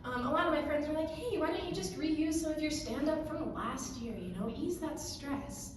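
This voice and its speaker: A young woman from Ukraine doing a stand-up comedy set on a club stage, with a wry, deadpan delivery and pauses for laughs. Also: high-pitched, a crowd in the background. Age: young adult. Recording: echoey club from far off. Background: audience laughter.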